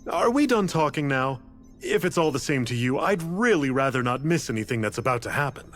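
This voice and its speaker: A man plays an eccentric scientist in a game cutscene. His pitch swoops freely as he lectures, theatrical yet casually detached. Music plays softly behind him. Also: expressive, fairly quick, natural voice. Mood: blithe, detached. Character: eccentric, blithe male scientist, coolly explaining a grand scheme. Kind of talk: scripted video game voice acting.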